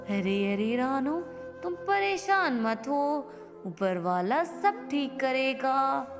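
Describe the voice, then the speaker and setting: Female performer as a comforting cartoon bird friend, fairly high voice, unhurried, reverberant. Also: big pitch swings, heavily acted. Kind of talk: Hindi cartoon moral-story voice acting. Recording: long reverb with music behind.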